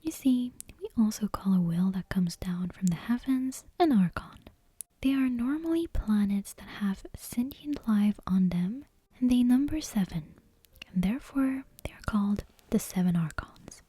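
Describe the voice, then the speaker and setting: A woman whispering a bedtime story in ASMR style, softly and expressively, taking her time over the phrases. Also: mid-range voice, slightly rough, no echo. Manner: soft, unhurried, expressive whispering.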